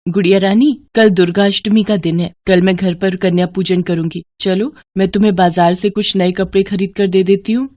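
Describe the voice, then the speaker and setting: A woman voicing a kind, pious young daughter-in-law, gentle and earnest, in a moral story. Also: medium pitch, steady tone, moderate pace, few pauses, natural voice. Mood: tender.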